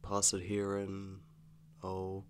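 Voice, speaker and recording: Low, slow-talking weary boyfriend played by a man. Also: completely flat delivery. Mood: relaxed, curious. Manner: halting, casual, understated delivery. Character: weary, easygoing werewolf boyfriend, wry and affectionate. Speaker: roleplay voice actor. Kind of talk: ASMR boyfriend audio roleplay. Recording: clearly audible background hum.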